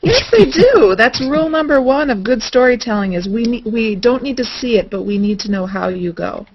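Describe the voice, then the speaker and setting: A woman's voice: on the high side, animated, normal speed. A woman bantering casually in a group chat, recorded over a dull video call with faint noise and clicks.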